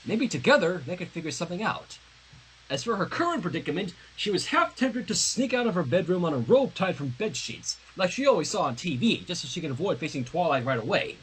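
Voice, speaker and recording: A man reading fan fiction aloud on a livestream in an expressive, dramatic way, with casual asides. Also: sits high, fairly quick, slight background noise, rough recording. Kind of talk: animated story narration on a livestream.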